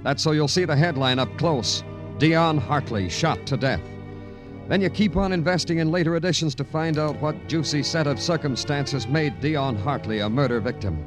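A man's voice: fairly high pitch, normal speed, close to an everyday voice. An American man acting a terse, world-weary police detective in a hardboiled 1950s radio crime drama. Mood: wry, hardboiled. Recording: old radio drama with music behind.

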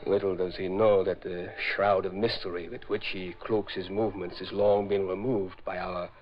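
An actor playing a coolly plotting, menacing Gestapo agent in a 1940s radio drama, speaking in a measured, formal way. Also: male voice, sits low, level tone, slight background noise, natural voice. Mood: cold, conspiratorial. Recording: dull lo-fi 1940s radio recording.

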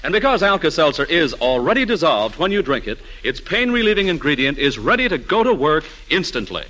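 A male announcer delivers a vintage radio commercial with lively swings of pitch. His voice is bright and fairly high, and he sells each point with emphasis. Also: a little fast, poor audio. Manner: emphatic, salesmanlike.

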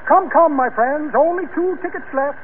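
A grandiose con man in a vintage radio comedy. His very high-pitched voice rolls out pompous, rhyming barker's patter. The sound is tinny with faint noise. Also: normal speed. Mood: slick. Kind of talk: 1940s radio comedy acting. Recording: slightly noisy, tinny vintage radio recording.

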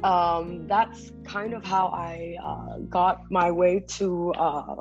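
A young woman from Malaysia, a startup founder, explaining her work in a measured, businesslike way as a podcast guest. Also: fairly low pitch, flat delivery, darkish tone, highs cut off, faint music behind. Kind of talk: casual podcast interview.

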